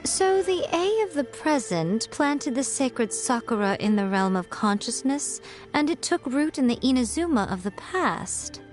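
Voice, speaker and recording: An actress playing a sly, worldly priestess who speaks with wry, skeptical teasing. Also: female voice, high-pitched, very animated, a little slow, hardly any pauses. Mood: musing. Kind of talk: game cutscene voice acting. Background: game music.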